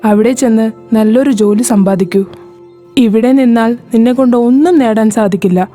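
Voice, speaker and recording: A woman voicing a caring older village mother who speaks gently and motherly, for an animated storybook. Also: fairly high pitch, normal speed, soft background music, lightly acted.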